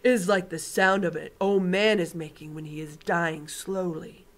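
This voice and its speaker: A woman voicing a huge, gentle male giant with mangled grammar while reading a storybook aloud. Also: on the low side, fairly even intonation, moderate pace, close to an everyday voice. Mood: earnest. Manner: loud, earnest, mangled grammar.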